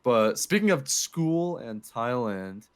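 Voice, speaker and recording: A man's voice: medium pitch, very animated, slow, smooth, no echo. A young man co-hosting a casual podcast, teasing and joking with his friend and swearing casually. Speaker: podcast co-host. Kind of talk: casual banter.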